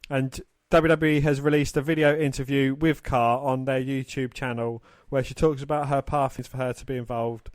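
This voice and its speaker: A man co-hosting a casual sports podcast, talking in a halting way and stumbling over his words. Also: mid-range voice, level tone, no echo. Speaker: podcast co-host.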